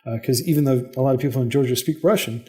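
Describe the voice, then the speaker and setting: A man of ordinary middle pitch, speaking as a podcast guest in a loose, conversational way. He explains things in long runs, stringing thoughts together with frequent "like" and "you know". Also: very fast, a little mellow. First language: English. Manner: chatty, explanatory, lots of "like" and "you know" fillers. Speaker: interview guest, head of a coding non-profit. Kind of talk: casual podcast interview.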